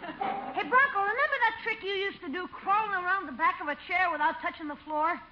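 A performer playing a cheeky, wisecracking young American nephew who needles the grown-ups in a 1950s radio comedy. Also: female voice, very high-pitched, a little fast. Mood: teasing. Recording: very noisy, dull old radio recording, audience.

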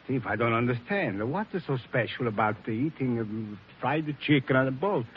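A man playing an excitable, friendly helper with an effusive manner in a 1940s radio drama. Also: mid-range voice, moderate pace, natural voice. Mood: jovial, eager. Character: excitable, friendly Italian man, loyal helper and food lover. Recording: muffled, noisy 1940s radio recording.

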